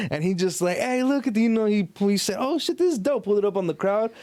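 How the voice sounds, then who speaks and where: A man's voice: high voice, lively intonation, fast talker, a little mellow, dry recording. An American man in casual, slangy conversation, telling anecdotes in an easygoing way. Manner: slangy, easygoing storytelling with short agreeing interjections. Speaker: podcast host. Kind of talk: casual chat.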